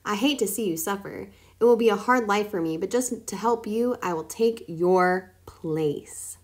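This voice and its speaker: A woman's voice: mid-range voice, unhurried, darkish tone, natural voice. Woman doing a sly greedy fox. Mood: mock sympathetic. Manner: smooth and sly, turns panicky when threatened. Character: sly hungry male fox, greedy schemer.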